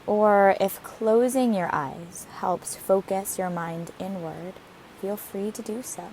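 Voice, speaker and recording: A woman leading a guided meditation in a gentle, soothing manner with deliberate phrasing. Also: medium pitch, rough recording. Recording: steady hiss behind the voice. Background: hiss, rushing waterfall water.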